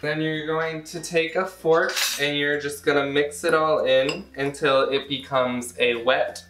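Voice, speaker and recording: A young American man casually walking through a recipe in his home kitchen, with playful, self-deprecating asides. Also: medium pitch. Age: young adult. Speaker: cooking show host. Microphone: camera mic a few feet away. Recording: roomy kitchen echo from camera distance.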